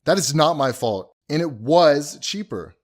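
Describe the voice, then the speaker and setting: An actor playing an earnest, thrifty husband who explains and justifies himself in an audio drama. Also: male voice, fairly high pitch, expressive, a little slow. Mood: defensive, earnest. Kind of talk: acted audio drama dialogue.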